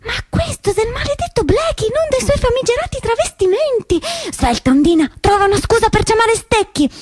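A woman's voice: very high voice, expressive, very noisy, pitched above the performer's own voice. A woman playing an eager, scheming young partisan girl with an exclamatory, nervous manner. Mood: urgent, plotting. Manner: exclamatory, hurried asides and nervous fibbing.

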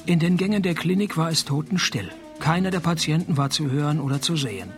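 Actor as wry, clear-voiced-light private eye. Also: male voice, fairly high pitch, a little fast, soft background music, natural voice. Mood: deadpan narrating. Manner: dry, deadpan, ironic. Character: wisecracking, cocky male private detective posing as night porter. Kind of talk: radio play dialogue and first-person detective narration.

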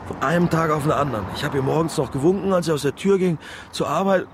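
A man acting in a German radio drama as a stubborn, anxious middle-aged widowed father and factory worker, halting and emotional. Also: on the high side, slight background noise, close to an everyday voice. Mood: grieving, subdued. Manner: halting, emotional, muttering to himself.

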